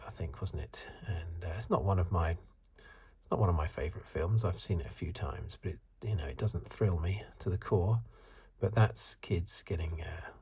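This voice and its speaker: A man in his fifties giving soft-spoken, whispery ASMR commentary in a hesitant, halting, unscripted way, with a breathy voice. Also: deep voice. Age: 50s. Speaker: host of an ASMR video channel.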